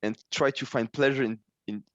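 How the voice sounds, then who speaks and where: A man's voice: mid-range voice, steady tone, moderate pace, no room sound, lo-fi sound. A man in his twenties from Switzerland, a non-native English speaker, modestly describing himself as a guest and searching for words. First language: not English. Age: 20s. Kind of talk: casual interview talk.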